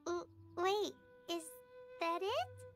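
Anime game sidekick: very high-voiced little fairy girl, excitable and childlike, swooping delivery, music behind. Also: slow, heavily acted. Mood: curious. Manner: speaks of herself in third person, exclamatory. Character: tiny excitable floating fairy companion girl, childlike, greedy. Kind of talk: anime video game dialogue.

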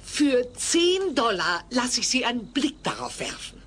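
An actress playing a sly, grandiose sideshow huckster with a theatrical, wheedling manner in a sitcom audio play. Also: female voice, a little high, very animated, unhurried, a bit bright, a little hiss or hum. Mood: sly, insistent.

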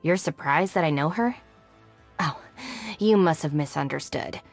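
Cool, low-voiced young gang deputy. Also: female voice, measured pace. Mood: mildly surprised. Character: capable, composed young female deputy gang leader. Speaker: video game voice actor. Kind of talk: scripted game character monologue. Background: background music.

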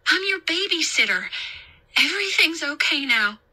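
A woman's voice: sits high, very animated, moderate pace, pushed and bright, voice pushed up, exaggerated voice. A woman voicing a young female babysitter in an audiobook story, flustered but soothing and reassuring. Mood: urgent soothing. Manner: reassuring, urgent.

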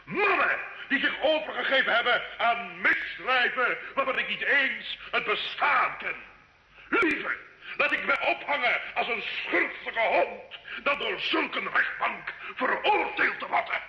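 A man's voice: extremely high pitch, lively intonation, unhurried, sharp tone, a little hiss or hum, heavily acted. An actor in an old radio drama playing a proud, bitter accused nobleman who declaims with indignant scorn. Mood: furious, defiant. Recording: echoey, dull old radio recording.

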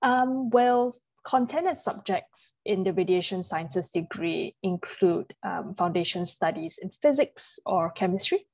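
A woman moderating a webinar, brisk and polite as she passes questions to the panel. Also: lowish voice, expressive, a little mellow, no echo.

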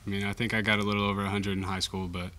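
A young man, a college baseball pitcher, giving modest, understated answers at a post-game press conference. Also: level tone, very fast, sharp tone. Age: young adult. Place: indoor press conference room. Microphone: table microphone.

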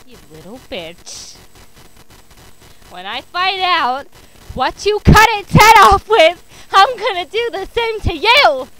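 A woman's voice: very high voice, big pitch swings, moderate pace, pushed and bright, heavily acted, rough recording. A woman playing an unhinged, vicious kindergarten teacher in a comedy sketch, theatrical and cruel. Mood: menacing. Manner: theatrical, mock-sweet turning to shrieking.

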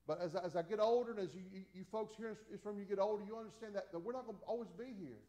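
A man's voice: high voice, very fast, warm tone. A man in his forties preaching at a Bible study from the pulpit of a small church, folksy, with many restarts and repeated amens. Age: 40s. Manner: folksy, repetitive, stammering restarts, frequent "amen". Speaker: pastor.

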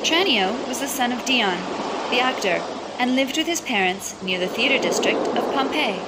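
A woman reading a children's picture book aloud in an expressive, dramatic storytelling style. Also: a little high, moderate pace. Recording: loud music and crowd sounds underneath. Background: music and crowd noise.

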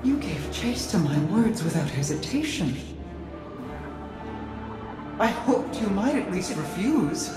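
A woman's voice: mid-range voice, sing-song, slow pace, pauses often. Regal, restrained queen. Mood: cool, wistful. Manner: stately, restrained. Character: regal, cool older sister, wistfully restrained queen. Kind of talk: game dialogue. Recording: echoey, music behind.

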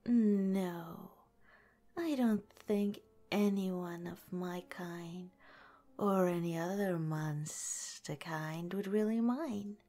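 A woman playing a gentle, teasing lamia girlfriend in an ASMR roleplay, speaking softly and breathily, often in a whisper. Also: on the low side, extremely slow. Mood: curious, amused.

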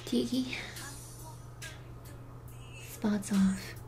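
A woman playing an anxious, conscientious teenage superheroine in an audio drama, hesitant and breathy, often dropping toward a whisper. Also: mid-range voice, steady tone, very slow, warm tone. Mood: worried. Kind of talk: fan audio drama acting. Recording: music under the voice.

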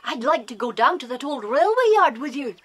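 A woman with a British accent playing an eager, excitable farm boy who craves adventure in a children's audio drama. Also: sits high, brisk. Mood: excited, eager.

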